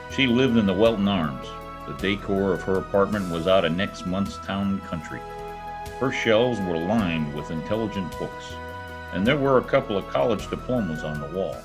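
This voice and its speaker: A man playing a wry, wisecracking private detective who poses as a lonely oilman, in old radio drama style. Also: sits low. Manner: wry, quipping. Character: wisecracking male private eye posing as lonely Oklahoma oilman. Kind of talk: 1940s radio detective acting, first-person narration. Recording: remote video-call recording with music.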